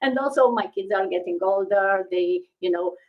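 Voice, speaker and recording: Female interview guest, middle-aged, from Romania, medium pitch, casual conversational storytelling. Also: moderate pace, narrow, tinny audio.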